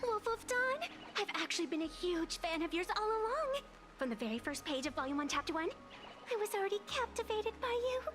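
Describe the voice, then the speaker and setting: A woman voicing an excitable, cheeky little game companion with a dramatic, girlish delivery. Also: extremely high pitch, a little fast, exaggerated voice. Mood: gushing. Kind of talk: video game character acting. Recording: game dialogue over music.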